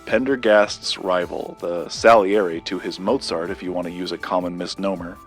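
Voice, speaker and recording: An actor playing an earnest, grumbling, bookish collector with a conspiracy obsession, in naturalistic audio drama dialogue, dry and precise. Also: male voice, deep voice, steady tone, normal speed, soft background music. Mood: earnest, measured. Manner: dry, skeptical, precise wording.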